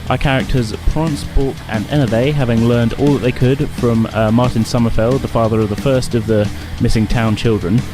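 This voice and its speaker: A man's voice: mid-range voice, steady tone, normal speed, few pauses, music in the background. A man hosting and game-mastering a tabletop roleplay podcast in an informal, casual, slightly hesitant way, rephrasing as he goes.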